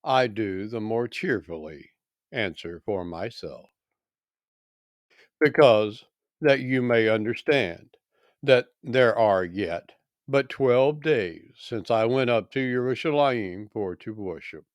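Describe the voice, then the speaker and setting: A composed apostle on trial read by a man gives his defense from scripture. He sounds earnest and formal, with slow, measured phrases. The recording is dry. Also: on the low side, animated, no echo.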